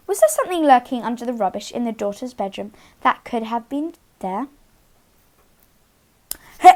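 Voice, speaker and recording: A woman hosting storytime, speaking to young children in an expressive read-aloud style with dramatic emphasis on key words. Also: fairly high pitch. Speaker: children's storytime host and reader.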